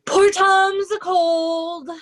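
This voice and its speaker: Woman acting a high-voiced disguised young nobleman, sorrowful. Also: little pitch movement, very slow, full character voice. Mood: feigned madness. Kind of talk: remote readers' theatre Shakespeare performance.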